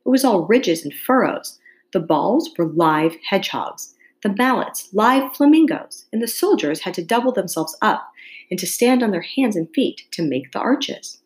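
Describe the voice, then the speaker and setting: A woman reading a classic children's story aloud as an expressive narrator. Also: mid-range voice, normal speed. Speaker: storybook reader.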